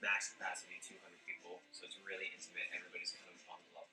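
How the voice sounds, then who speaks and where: A groom talks casually in an interview. The man has a low-pitched voice with a very sharp, bright edge. It comes across distant and echoey, like played-back television. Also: completely flat delivery, very fast. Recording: echoey, distant television playback with faint music.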